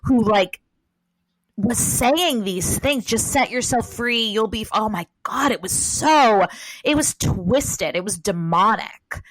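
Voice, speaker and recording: A woman guest chatting animatedly on a podcast, loud and rambling, with many fillers, speaking close to her microphone. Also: fairly high pitch, animated, slow, dry recording, rough recording. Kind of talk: casual chat. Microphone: close to a desk microphone.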